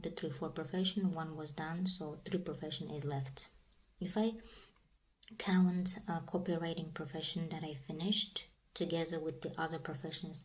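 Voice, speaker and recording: A woman's voice: low-pitched, poor audio. A young woman with a Kazakh accent, native Kazakh speaker, chatting casually to camera in a rambling, repetitive way full of fillers. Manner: rambling and repetitive, many "you know" and "like" fillers. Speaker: vlogger. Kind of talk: casual vlog monologue.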